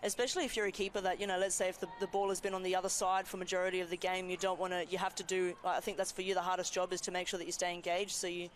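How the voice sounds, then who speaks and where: A woman's voice: lowish voice, little pitch movement, rapid-fire, hardly any pauses, a little hiss or hum. A woman, a goalkeeper, giving chatty, self-deprecating match commentary with frequent laughter at an outdoor stadium. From Greek background.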